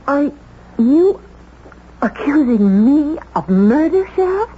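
A woman playing a flustered young secretary under suspicion in a 1970s radio drama, halting and emphatic as she protests. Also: high-pitched, sing-song, heavily acted. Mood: shocked, defensive. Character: flustered young female secretary accused of murder. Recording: dull, hissy vintage radio recording.

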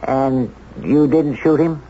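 A calm, probing sheriff in a vintage radio mystery, played by a man. He speaks with measured skepticism at an ordinary male pitch, on a slightly hissy, muffled recording. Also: natural voice. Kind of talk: 1970s radio drama acting.

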